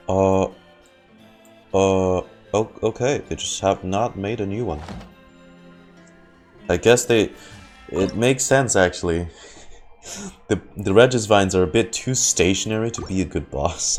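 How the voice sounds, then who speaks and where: A man's voice: sits low, a little mellow. A man giving casual live commentary as a game streamer, rambling and repeating his words and phrases. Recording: game music behind the voice.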